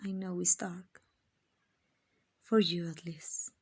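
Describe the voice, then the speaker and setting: A woman playing a kind, doting vampire owner in an ASMR roleplay, soft and often whispering, gently coaxing and reassuring. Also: on the low side, fairly even intonation, slow, husky. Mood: soothing, coaxing. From Mexico. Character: kind, doting, genteel female vampire owner, soothing and possessive.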